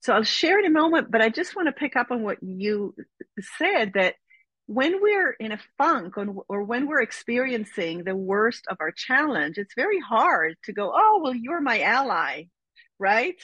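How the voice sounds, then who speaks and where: An earnest middle-aged woman from Israel speaks as an interview guest. Her voice is husky, and she lingers on key phrases. The recording is dry and close. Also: a little high, big pitch swings, a bit bright, no room sound, muffled recording. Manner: earnest, reflective, slow with emphatic phrasing. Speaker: guest, spiritual teacher. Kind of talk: interview conversation.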